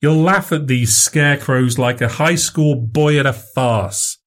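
A man voicing a smooth, cynical medical man who coaxes and mocks a younger accomplice in a storybook reading. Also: medium pitch, unhurried, voice pushed up, lightly acted. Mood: persuasive. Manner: coaxing, persuasive, mocking.

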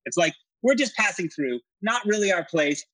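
A man's voice: high-pitched, lively intonation, normal speed, fairly bright tone, dry recording, low-quality recording. Discursive man in a dry, close recording. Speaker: interview guest, writer and commentator. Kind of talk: conversational political and historical explanation.

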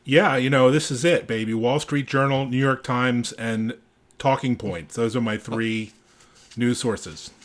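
Man, podcast co-host, medium pitch, casual banter, wry joking manner. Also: fairly even intonation, unhurried.

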